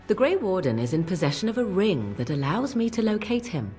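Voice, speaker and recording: An actress playing a sardonic young adventuring companion with a dry, wry manner. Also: female voice, lowish voice, very animated, a little fast, a little mellow, soft background music. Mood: measured.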